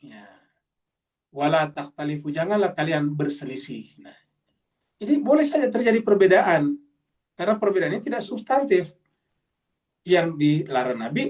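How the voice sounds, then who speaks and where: A man's voice: fairly high pitch, darkish tone, far from the mic. A middle-aged man teaching a Quran study session, explaining Arabic phrase by phrase with frequent "ya" tags. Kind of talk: religious study lecture. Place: study gathering, online session.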